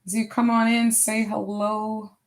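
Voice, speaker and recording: A middle-aged woman with a hoarse voice, hosting a casual crafting livestream and chatting to viewers as she works. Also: medium pitch, flat delivery, unhurried. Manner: chatty, greets viewers warmly and often. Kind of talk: casual live craft chat.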